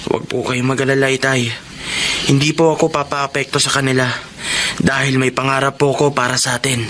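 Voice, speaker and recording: A man's voice: mid-range voice, little pitch movement, fairly quick, over music, lightly acted. An actor playing a humble, patient young man working as a janitor while he studies, speaking politely and with restraint. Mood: earnest. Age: young adult. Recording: noticeable background noise.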